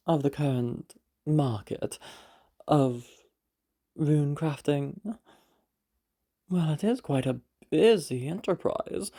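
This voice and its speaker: Rich mother, played by a woman for audio drama: condescending, gracious on the surface, passive-aggressive, mellow with some fry. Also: deep voice, full character voice. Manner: falsely gracious, haughty, hesitant pauses.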